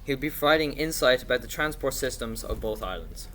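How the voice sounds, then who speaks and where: A man's voice: mid-range voice, lively intonation, a little fast, sharp tone, slight background noise. A young man presenting as a podcast host in a scripted, introductory style.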